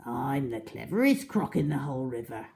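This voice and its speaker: Woman doing a big greedy croc from a kids storybook, boastful, savours every word, mellow n swoopy. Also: a bass voice, very animated, creaky, exaggerated voice. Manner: boastful, relishing, drawn-out words. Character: enormous greedy boastful male crocodile, scheming child-eater. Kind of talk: storybook character reading.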